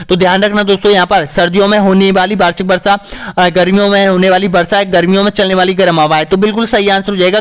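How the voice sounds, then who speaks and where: A man teaches an online exam-prep lesson, and his voice is a little hoarse. He speaks in a high, clear, smooth tone. He asks each question, then answers it himself, with a constant "friends, here" as a filler. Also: a bit bright. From Rajasthan, India. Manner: repeats "dosto yahan par", asks then answers. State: slightly hoarse.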